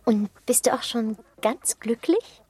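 A woman's voice: fairly high pitch, expressive, measured pace, dark tone, breathy edge, no echo. An actress playing a curious, shy teenage village girl who searches hesitantly for words, in a radio drama. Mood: curious, coy. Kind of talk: German radio drama acting.

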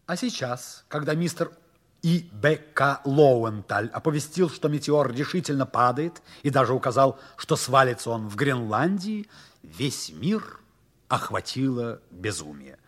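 An actor narrating a 1960s Soviet radio play as a wry storyteller, with theatrical, expressive emphasis. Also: male voice, medium pitch, a little slow. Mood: wry storytelling.

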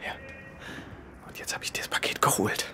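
An actor playing an eager, secretive male engineer with a breathy, hushed, crackly voice in an intimate radio drama. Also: brisk, in a whisper, natural voice. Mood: excited, secretive. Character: Henning, eager, secretive rocket engineer confiding ambitions.

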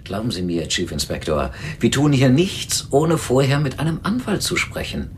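An actor playing a wary, officious hospital clerk in a radio drama, long-winded, evasive and argumentative. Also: male voice, mid-range voice, very animated, moderate pace, a little hiss or hum, natural voice. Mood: defensive. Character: stalling, officious male hospital personnel clerk, wary of lawsuits.

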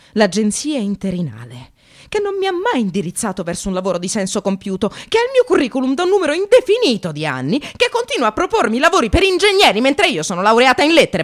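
An actress playing a bitter, wry, educated receptionist in precarious work, delivering an expressive theatrical radio monologue. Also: female voice, fairly high pitch. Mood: exasperated, indignant. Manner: sardonic, expressive, swearing, addressing the audience.

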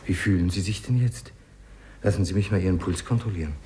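An actor playing a brisk, self-assured, eccentric doctor in a 1950s radio play, theatrical in his delivery. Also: deep voice, mellow, natural voice. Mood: brisk, absorbed.

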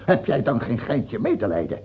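An actor playing a sick, paranoid, self-pitying old king in a radio drama, with a husky, gravelly voice and a theatrical, halting delivery. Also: medium pitch, wildly swooping pitch, full character voice. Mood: furious. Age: older. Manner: theatrical, halting, swinging between whining and outbursts. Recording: dull, muffled old radio recording.

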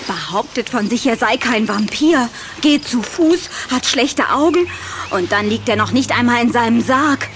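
Woman playing a coquettish, high-voiced young vampire girl. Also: lively intonation, normal speed, noisy background, lightly acted. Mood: dramatic pity.

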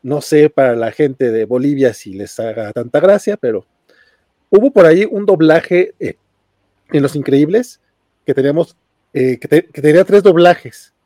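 A man's voice: a little high, lively intonation, normal speed, dark tone, dry recording, studio quality. A Mexican man with a Mexican Spanish accent, chatting easily on a podcast panel and chuckling between points. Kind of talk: casual panel chat.

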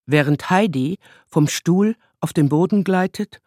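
A man narrating a scripted radio drama in a literary, deliberate storytelling manner. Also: fairly high pitch, a crowd in the background.

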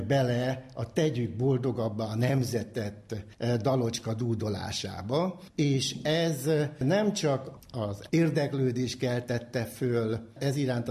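An older man giving a measured, formal explanation as an academic expert. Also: mid-range voice, warm tone. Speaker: psychology professor, interviewed expert.

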